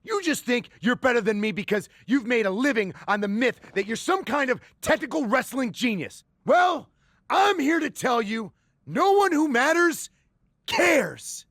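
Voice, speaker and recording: A man's voice: extremely high pitch, big pitch swings, a little slow, fairly bright tone, no room sound, clean studio sound, full character voice. A man playing a boastful, defensive, sneering wrestling show manager in a scripted game cutscene. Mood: heated, mocking.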